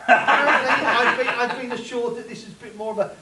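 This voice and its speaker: A man's voice: extremely high pitch, fast talker, heavy background noise. A man introducing a live comic radio play as its announcer, bantering with hecklers and the audience. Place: live convention hall with audience. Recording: echoey hall from far off, loud crowd. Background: loud audience chatter and laughter.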